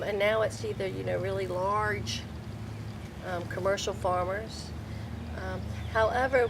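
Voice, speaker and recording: An older woman, a vegetable farmer and grandmother, speaking softly and reflectively in a documentary interview. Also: medium pitch, noisy background. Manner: soft-spoken, reflective, with frequent fillers. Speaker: vegetable farmer, former hospice chaplain.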